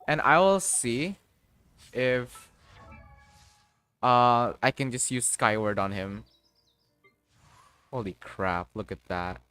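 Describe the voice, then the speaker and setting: A man live-streaming a game, thinking aloud in a casual, halting way with some mild swearing. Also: medium pitch, pushed and bright, clean tone, soft background music.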